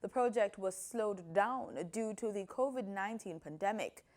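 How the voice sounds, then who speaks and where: A woman's voice: mid-range voice, normal speed, a little mellow. A woman presenting scripted news as a news reader.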